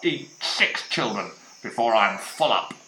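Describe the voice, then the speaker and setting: A man's voice: on the low side, animated, measured pace, sharp tone, slight background noise. A man voicing a greedy, scheming male crocodile who gloats to himself, in a storybook reading. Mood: gloating. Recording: roomy, with music behind.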